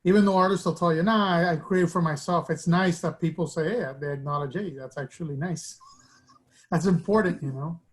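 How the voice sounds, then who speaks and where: A man's voice: on the high side, fairly quick. A man from Miami, a guest artist, telling his story in a reflective, rambling way with many restarts. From Miami, United States. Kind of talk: casual interview storytelling.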